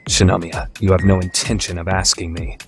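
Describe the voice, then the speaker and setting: A man playing a proud, overthinking high school student council president, grave and calculating in an anime radio play. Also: little pitch movement, brisk. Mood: grave scheming. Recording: background music under the voice.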